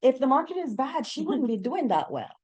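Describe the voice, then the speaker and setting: A woman's voice: high voice, big pitch swings, brisk, darkish tone, slightly rough. A middle-aged woman from Jamaica with a Jamaican accent, speaking on a real estate panel in an emphatic way, putting rhetorical questions to the audience. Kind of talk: panel discussion.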